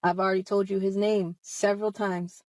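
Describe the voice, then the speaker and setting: A woman's voice: mid-range voice, level tone, normal speed, darkish tone, no room sound. Emphatic, confrontational woman of thirty. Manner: emphatic, confrontational, rhetorical questions. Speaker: online video host speaking about personal experience. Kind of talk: impassioned personal testimony straight to audience.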